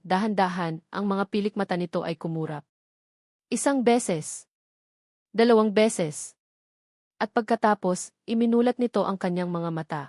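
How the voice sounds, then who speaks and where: Woman reading a dramatic romance audiobook, mid pitch voice, no room echo. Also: darkish tone, studio quality. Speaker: audiobook narrator. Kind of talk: dramatic scripted narration of a romance story.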